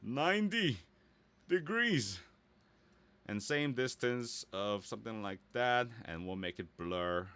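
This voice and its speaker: A man giving a casual, easygoing software tutorial, thinking aloud and muttering small asides as he works. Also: mid-range voice, lively intonation, takes it slowly, clear, resonant tone. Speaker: voice actor giving a website-building tutorial.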